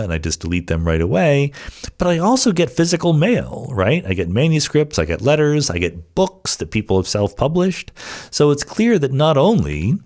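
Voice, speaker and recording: Man with lively, swooping intonation, lowish voice. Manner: conversational, emphatic, rhetorical asides. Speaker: podcast host answering listener questions. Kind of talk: casual explanatory monologue, reads questions aloud.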